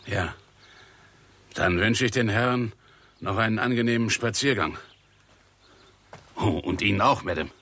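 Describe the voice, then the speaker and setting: An actor playing an amiable, deferential gardener in a 1960s German radio play, talking in an unhurried, folksy way. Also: male voice, on the low side, fairly bright tone. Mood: amiable, marvelling. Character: amiable, plain-spoken gardener proud of his tomatoes.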